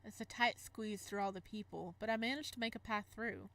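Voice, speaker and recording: A woman's voice: medium pitch, a little fast, fairly bright tone. A woman reading a visual novel aloud with casual reactions, giggling and stumbling over words as she goes. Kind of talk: let's play read-aloud with casual reactions.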